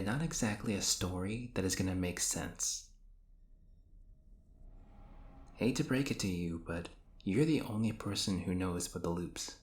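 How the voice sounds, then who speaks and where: A man's voice: low voice, reverberant. A man playing an exasperated, sarcastic young hero who banters and teases his frenemy in an audio roleplay. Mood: sarcastic, insistent. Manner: ranting, sarcastic, teasing. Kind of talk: scripted audio roleplay acting addressed to the listener.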